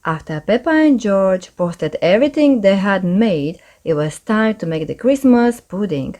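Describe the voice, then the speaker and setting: A woman's voice: mid-range voice, expressive, a little slow, warm tone. A woman reading a children's story aloud as narrator, expressively and with careful pacing.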